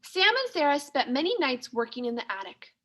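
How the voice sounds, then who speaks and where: A woman teacher reading a children's story aloud in an expressive, clearly paced manner. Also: high voice, brisk. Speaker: second grade teacher.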